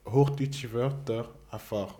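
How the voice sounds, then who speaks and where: A man's voice: mid-range voice, slow pace. A man, telling stories in a casual chat with laughs. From Congo. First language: French. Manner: animated storyteller, laughs often. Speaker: guest.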